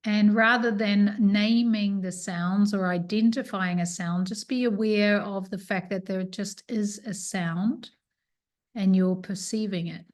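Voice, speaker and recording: A woman teaching yoga nidra, leading a guided relaxation with soothing, unhurried instructions and pauses. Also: medium pitch, fairly even intonation, darkish tone.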